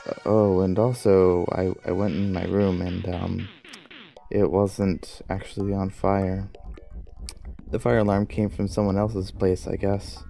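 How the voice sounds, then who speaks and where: A man's voice: low-pitched, flat delivery, a little slow, creaky, dark tone. A young man telling a comedic personal story in a rambling, self-deprecating way, with music behind him. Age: young adult. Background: background music.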